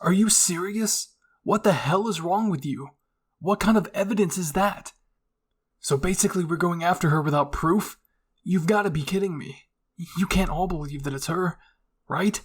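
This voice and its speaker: A man's voice: high-pitched, normal speed, breathy edge, voice pushed up. A man voicing a hot-headed, emotional teenage soldier boy in a fan fiction roleplay, indignant and impatient.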